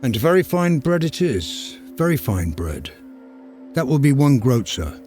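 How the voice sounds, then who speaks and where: A man voicing a plain-spoken male milkman in a storybook reading, brisk and a little raised. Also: medium pitch, sing-song, darkish tone, soft background music.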